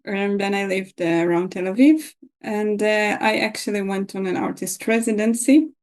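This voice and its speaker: A woman in her thirties, raised in Israel after being born in Russia, who answers interview questions in a hesitant way with many pauses. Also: medium pitch, steady tone, darkish tone. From Russia, grew up in Israel. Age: 30s. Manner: hesitant, many ums and uhs. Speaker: guest. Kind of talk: casual interview.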